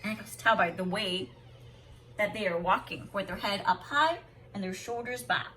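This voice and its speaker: A woman reading a storybook aloud as a teacher of young children, expressive and deliberate, pausing to explain simply. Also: mid-range voice, slight background noise. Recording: voice somewhat distant from the mic.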